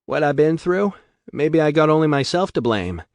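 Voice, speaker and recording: A worn-out, streetwise young woman talks in slang in a raised, lighter voice, as a man puts her on. Her pitch moves a lot, and the sound is close and dry. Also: sing-song, normal speed, dry recording. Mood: bitter. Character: weary young woman from a rough background, streetwise.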